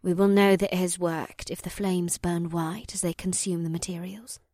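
A woman playing a solemn, assured Orcish mystic with formal, measured phrasing and a breathy voice in a fantasy audiobook. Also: on the low side, flat delivery, mellow, no room sound, clean studio sound, lightly acted. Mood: solemn instruction. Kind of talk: audiobook character dialogue.

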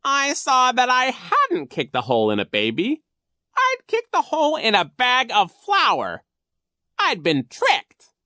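Woman acting a sly, drawling villainous male coach, swooping high. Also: wildly swooping pitch, pushed and bright, no echo, clean studio sound, exaggerated voice. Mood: mock aggrieved. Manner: drawn-out, theatrical, sly. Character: Genghis, wicked male gym coach, smug storyteller.

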